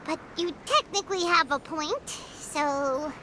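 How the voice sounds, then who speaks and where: A woman voicing a small, childlike fairy companion in a video game, squeaky, earnest and expressive. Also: slow, a little hiss or hum, exaggerated voice, poor audio. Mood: puzzled. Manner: expressive, exclaiming. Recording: music behind the voice.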